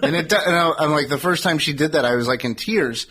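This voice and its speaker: A man's voice: on the high side, quick pace, fairly bright tone, breathy edge. An American man bantering casually and asking questions on a radio talk show.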